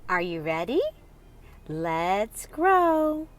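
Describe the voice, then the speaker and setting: A woman's voice: on the low side, very animated, extremely slow. A woman hosting a children's read-aloud, reading expressively and putting questions directly to young listeners in an instructive way.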